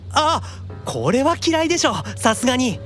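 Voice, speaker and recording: A man's voice: extremely high pitch, moderate pace, soft background music, full character voice. An actor playing a whiny, easily panicked young man in an anime-style audio drama. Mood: panicked, disgusted. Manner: animated, stammering exclamations when unnerved.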